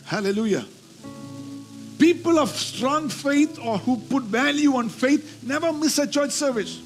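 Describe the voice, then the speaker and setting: A man's voice: very high-pitched, lively intonation, measured pace, soft background music. A man preaching a sermon, emphatic and repeating key phrases as he teaches from scripture. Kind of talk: sermon with scripture reading and call-and-response.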